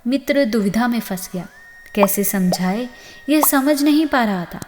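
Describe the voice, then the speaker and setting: Woman, high voice, scripted storytelling, expressive host delivery, long echoing reverb. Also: measured pace, noisy background. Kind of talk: scripted narration of a moral story.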